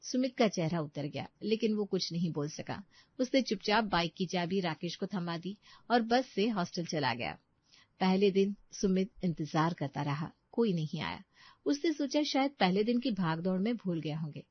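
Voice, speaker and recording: A woman's voice: fairly low pitch, expressive, normal speed, dry recording, highs cut off. A woman reading scripted storytelling narration as the narrator.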